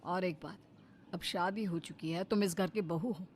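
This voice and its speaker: A woman's voice: lowish voice, fairly even intonation, normal speed, darkish tone, a little hiss or hum, lightly acted. A woman voicing a tired, dismissive young husband in a cartoon story, speaking in an exasperated, defensive manner. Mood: stern.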